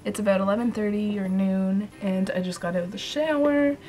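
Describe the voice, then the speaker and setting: A woman's voice: mid-range voice, a little fast, soft background music. A young woman with a stuffy nose from a cold, chatting casually to a handheld camera at arm's length. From Canada. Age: young adult. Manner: chatty, rambling, quiet at night. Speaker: vlogger. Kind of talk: casual daily vlog. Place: bedroom, car and living room at home.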